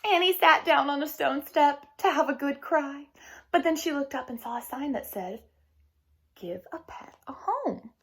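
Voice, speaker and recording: A woman's voice: high-pitched, normal speed, a bit bright. A woman reading a picture book aloud expressively as a teacher, speaking directly to young listeners.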